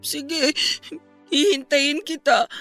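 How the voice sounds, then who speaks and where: A woman's voice: sits high, slow pace, a bit bright, faint music behind. A woman with a Filipino accent playing a feisty but devoted young woman, with emotional delivery in a radio drama. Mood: tender, supportive.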